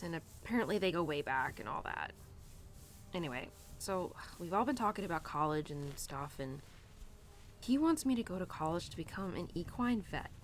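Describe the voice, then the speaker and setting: A woman's voice: on the low side, slight background noise. A young woman playing an earnest, rambling cowgirl who confides in her horse in an intimate roleplay audio, hesitant and giggly, with stammers and restarts. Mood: fond, hesitant, then frustrated, self-doubting.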